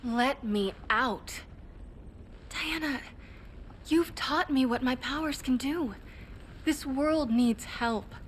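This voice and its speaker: An actress playing a defiant, restless and idealistic young superheroine in a video game cutscene. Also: female voice, high-pitched, takes it slowly, pauses often, slight background noise. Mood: pleading, restless.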